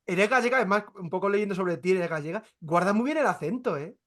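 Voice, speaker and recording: A woman conducts an interview in a relaxed, friendly way, her voice at an ordinary middle pitch. Her questions circle a little, restating themselves before they land. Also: fast.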